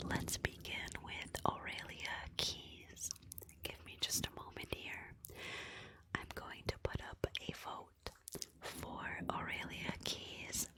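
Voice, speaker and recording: A woman speaking in a breathy whisper, hosting an ASMR roleplay livestream in a softly deliberate, instructive way. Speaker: livestream host of a murder mystery roleplay. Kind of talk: whispered ASMR roleplay narration with audience prompts.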